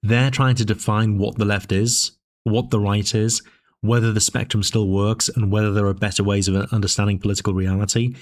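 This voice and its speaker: A man with a fairly low voice talks through his arguments in a measured, discursive way. He often pauses on fillers and restarts his phrases. His voice sounds a little dry as he speaks to his channel's viewers. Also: flat delivery. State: dry voice, sipping tea. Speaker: political commentary channel host. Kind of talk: casual spoken commentary.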